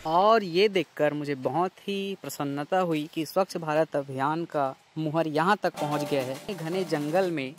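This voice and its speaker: A man's voice: on the high side, unhurried, hardly any pauses. A young man narrates in a playful, mock-formal reporter style, as if reading a news report about what he finds on the trail. He is recorded outdoors in a forest, with music playing under his voice. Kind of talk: mock-formal vlog commentary.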